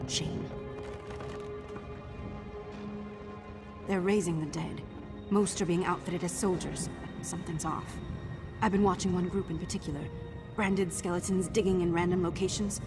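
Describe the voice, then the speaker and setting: An actress playing a wry, morbidly curious young investigator in a video game, with a dry, understated and slightly awkward manner. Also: female voice, on the low side, close to an everyday voice. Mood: wry, troubled.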